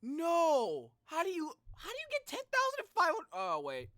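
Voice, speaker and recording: A young man giving casual, excitable live reaction commentary, exclaiming and thinking aloud. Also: very high-pitched, moderate pace, no echo, faint music behind. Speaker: reaction video host.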